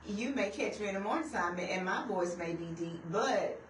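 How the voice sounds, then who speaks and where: A woman's voice: mid-range voice, animated, normal speed, roomy sound, distant mic, a little hiss or hum. A woman co-hosting a radio talk show, speaking casually with a sassy, emphatic manner.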